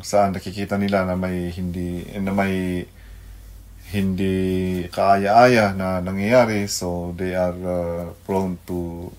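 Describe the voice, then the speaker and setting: Slow, low-voiced Filipino man, halting speech. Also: level tone, darkish tone. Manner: halting, searching for words, mixes Tagalog and English. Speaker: radio devotional host, pastor. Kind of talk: unscripted devotional radio talk.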